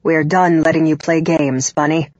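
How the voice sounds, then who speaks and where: Woman putting on a low, stern father. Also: level tone, darkish tone, no echo, lo-fi sound. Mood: stern, disapproving. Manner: stern, measured, disapproving. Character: stern, controlling middle-aged father.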